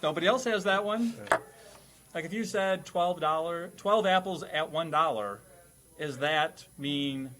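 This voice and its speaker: A man with a slightly high voice plays teacher and quizmaster. He explains things in a wordy, nerdy way, keeping it casual and playful. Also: a little slow, a bit bright. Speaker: quizmaster host playing a teacher. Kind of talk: casual comedic quiz lesson.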